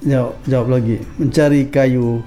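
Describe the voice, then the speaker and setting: A man's voice: medium pitch, little pitch movement, normal speed, dark tone. Man, has a cold, radio host, jokey and teasing, chuckles often, faint background music. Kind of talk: casual radio chat trading rhymed verses.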